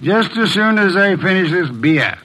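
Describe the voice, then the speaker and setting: A ponderous, self-important detective in an old radio mystery. His voice sits fairly high for a man, and he grumbles in long, formal sentences, balking at being hurried. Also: very animated, bright, forceful tone, exaggerated voice, low-quality recording. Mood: pompous, dry. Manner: pompous, grumbling, formal wordy speech. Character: bulky, balky, pompous genius detective who loathes hurry. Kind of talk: 1940s-50s American radio drama acting.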